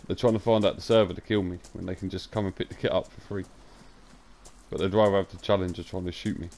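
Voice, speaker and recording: A young man with a croaky voice, joking crudely and chatting casually while live-streaming a game. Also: low-pitched, steady tone, fast, a little mellow. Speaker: gaming streamer.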